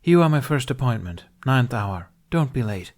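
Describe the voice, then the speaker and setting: Man acting stern alien woman healer, audiobook character, blunt, scolding, exasperated, lifted pitch. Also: sing-song, normal speed, darkish tone. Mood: brisk, firm.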